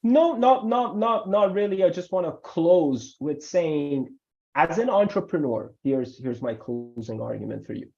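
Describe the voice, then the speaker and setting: A man whose first language is Farsi, working as an immigration lawyer, gives animated webinar answers and often repeats words for emphasis. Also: fairly high pitch, unhurried, vocal fry, soft background music. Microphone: video call. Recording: muffled video call audio.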